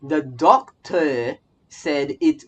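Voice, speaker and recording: Young adult game streamer, medium pitch, rambling casual commentary, repeats phrases, faint music behind. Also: very slow, highs cut off. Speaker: streamer, virtual YouTuber. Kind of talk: casual livestream gaming commentary. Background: soft game music.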